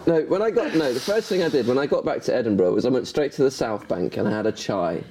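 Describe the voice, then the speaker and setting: A man's voice: medium pitch, animated, fast, mellow, slightly rough. A man who is a comedian, chatting casually, rambling with many restarts and bursts of laughter. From Northern Ireland. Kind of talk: casual chat.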